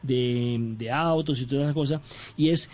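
A man's voice: medium pitch, fairly even intonation, normal speed, darkish tone. A man co-hosting a radio talk show, joking with the guest and asking direct questions.

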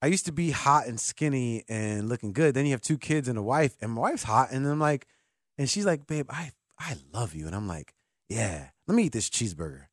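Man in his thirties, sports radio host, teasing banter, lively pitch, dry studio mic. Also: fairly quick, no room sound. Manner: joking, animated, teasing. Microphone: studio microphone.

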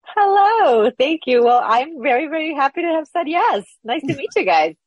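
A woman's voice: high-pitched, sing-song, moderate pace, no echo, muffled recording. A woman actress from Peru, a guest in a casual interview, talking thoughtfully and searching for words with frequent restarts.

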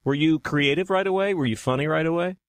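A man's voice: a little high, quick pace, no echo. A middle-aged man interviewing casually as a podcast host, with a joking, teasing manner.

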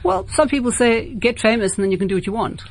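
A woman with a South African accent hosting a casual late-night radio interview, often halting and repeating words as she thinks. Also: on the high side, very animated, a little hiss or hum. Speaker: radio host.

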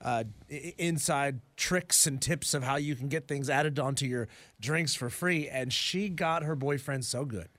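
A man's voice: mid-range voice, measured pace, a bit bright, no echo. A man co-hosting a sports radio show, chatty and setting up clips in casual banter. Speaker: radio co-host.

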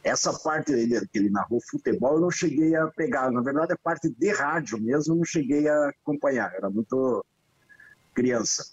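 Husky man, dull lo-fi sound. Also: medium pitch, expressive, normal speed, no echo. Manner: hesitant, checks facts with others. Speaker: interview guest. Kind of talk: casual recollection.

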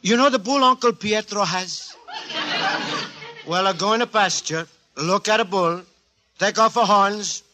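An actor playing a naive, cheerful Italian immigrant antique dealer in broken English with an Italian accent, earnest and expressive, in 1940s radio comedy style. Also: male voice, high voice, unhurried, long pauses, very noisy. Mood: fond, chatty. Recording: 1940s radio recording, live studio audience.

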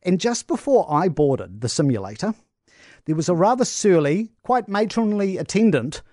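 A New man telling a travel story on breakfast radio with animated enthusiasm. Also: high-pitched, normal speed, no room sound, studio quality. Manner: animated storytelling, talks up attractions. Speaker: travel correspondent.

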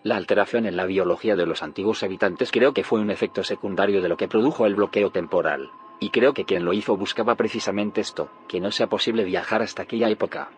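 An actor playing a grave, secretive scientist in an audio drama, solemn and explanatory. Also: male voice, on the low side, quick pace, slight background noise, lightly acted. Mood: grave, convinced. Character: grave, secretive male scientist haunted by failed timelines. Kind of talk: audio fiction drama acting.

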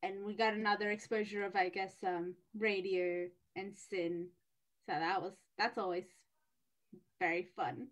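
A woman's voice: mid-range voice, level tone, unhurried. A young woman hosting a student radio show, bubbly and laughing often; her first language is Tamil. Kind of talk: casual chat.

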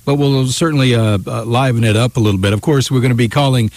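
A man's voice: medium pitch, fairly even intonation, fast, a little mellow. A man who works as a talk radio host, chatting casually as a studio guest.

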